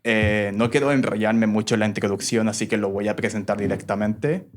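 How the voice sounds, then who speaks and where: A man's voice: lowish voice, brisk. A man hosting a podcast, asking questions casually with hesitant restarts and fillers. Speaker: podcast host.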